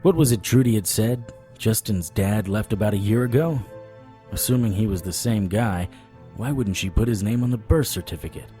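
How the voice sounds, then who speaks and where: An actor playing a wry, stubborn occult investigator in an audio drama, talking and narrating in a conversational noir style. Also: male voice, fairly low pitch, moderate pace, mellow, soft background music, close to an everyday voice. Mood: brooding, musing.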